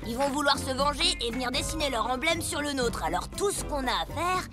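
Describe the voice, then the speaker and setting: A woman's voice: sits high, expressive, brisk, over music. A woman voicing a bossy, scornful child gang leader in a French cartoon dub. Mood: bossy, incredulous. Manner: commanding, mocking.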